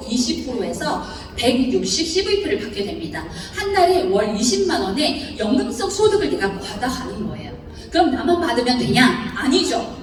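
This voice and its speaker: A high-pitched woman with a Chungcheong accent lectures in a large hall. Her voice swings widely up and down as she laughs and quizzes the audience. She sounds distant, and a long echo hangs on every word. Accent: Chungcheong Korean. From Seocheon, South Chungcheong, Korea. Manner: lively, laughing often, asks the audience questions. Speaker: seminar speaker. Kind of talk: seminar lecture explaining a bonus chart. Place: large lecture hall before about 800 people. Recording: echoey hall recording from far off.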